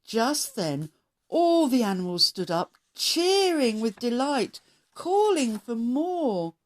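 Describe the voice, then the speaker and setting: Very slow-paced woman reading children's stories. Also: fairly high pitch. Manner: expressive, measured storytelling. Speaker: storyteller, host of a read-aloud show. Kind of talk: children's story narration.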